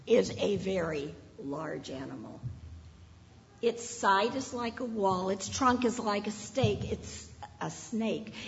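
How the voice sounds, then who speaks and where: Lady doing a calm wise prince, soft and slow, roomy echo. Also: fairly high pitch, gravelly, lo-fi sound, slight background noise, voice pushed down, exaggerated voice. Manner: gentle, calm, explaining. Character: gentle wise young prince.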